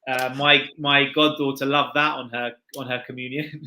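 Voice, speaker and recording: A man's voice: medium pitch, little pitch movement, normal speed, bright, forceful tone. A middle-aged man from Norwich with a Norwich accent, chatting casually as a guest and laughing easily. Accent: Norwich, England. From Norwich, England. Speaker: guest expert. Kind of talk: casual chat.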